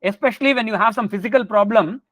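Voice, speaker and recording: A man's voice: high-pitched, quick pace, dry recording. A man whose first language is Tamil, patiently explaining philosophical terms in an informal lecture over a video call. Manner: patient, explanatory, gently joking. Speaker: teacher of a lecture series. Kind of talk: informal spiritual lecture with questions.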